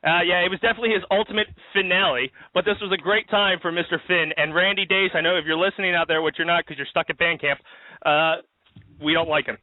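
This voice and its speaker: A man's voice: sits high, fairly even intonation, quick pace, dry recording. A man hosting a live-streamed comedy talk show outdoors, joking and laughing as he rambles through the news. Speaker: host. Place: outdoors in a backyard. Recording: tinny phone-like dry sound.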